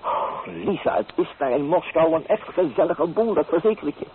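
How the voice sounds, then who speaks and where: A man's voice: a little high, big pitch swings, normal speed. A man playing a teasing, flamboyant young bohemian painter in a radio play, theatrical and playfully mocking. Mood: exuberant, charming. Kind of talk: Dutch radio play acting. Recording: tinny old radio recording with hiss.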